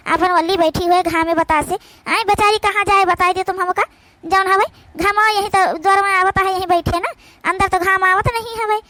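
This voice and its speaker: A woman's voice: very high-pitched, bright, forceful tone, no room sound. A woman voicing brash, indignant village daughters-in-law in an Awadhi cartoon comedy, scolding and arguing in a rustic manner.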